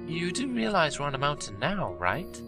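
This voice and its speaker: A man's voice: fairly high pitch, huge pitch swings, normal speed, pitched above the performer's own voice. A man voicing a teasing, regal female pony princess who speaks in a wry, questioning way. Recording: loud music behind.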